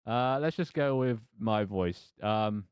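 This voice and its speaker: A man's voice: lowish voice, lively intonation, slow pace, clean tone, no echo, studio quality. A man casually running a tabletop game as game master, hesitant, self-deprecating and prone to swearing.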